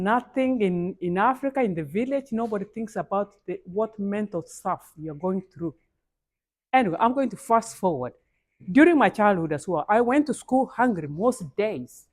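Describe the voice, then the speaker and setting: A woman's voice: mid-range voice, darkish tone. A woman with a Kenyan accent speaking as a guest at a live event, in an emphatic style that addresses the audience directly and repeats key phrases. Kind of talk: motivational speech.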